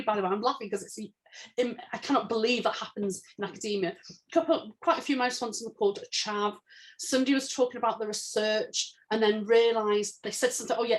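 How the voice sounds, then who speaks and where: A woman's voice: a little high, rough recording. A woman from England, an author talking candidly about her research in a conversational interview, with rising emphasis when indignant.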